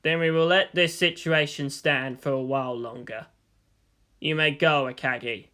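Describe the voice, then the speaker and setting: A narrator voicing a cold, calculating middle-aged male commander in a slow, deliberate, grave manner. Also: mid-range voice, steady tone, bright, forceful tone, lightly acted.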